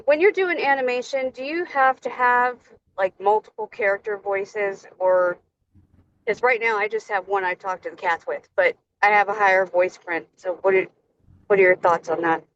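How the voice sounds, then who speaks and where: A woman attending a workshop, asking a question in a quick, friendly way. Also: medium pitch, dull audio, a little hiss or hum. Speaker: workshop participant.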